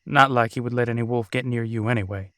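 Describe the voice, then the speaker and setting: A man's voice: medium pitch, fairly even intonation, fast talker, pushed and bright, no echo, studio quality, lightly acted. A man playing an easygoing, protective, brotherly werewolf in a casual roleplay audio drama. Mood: amused. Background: crickets at night.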